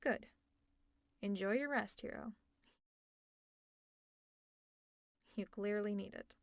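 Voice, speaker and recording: A woman's voice: medium pitch, normal speed, gravelly, no echo, clean studio sound, close to an everyday voice. A woman playing a protective, teasing female villain in a scripted ASMR roleplay, with a sardonic, exasperated but caring manner. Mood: firm, caring. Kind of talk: scripted roleplay ASMR, one-sided dialogue.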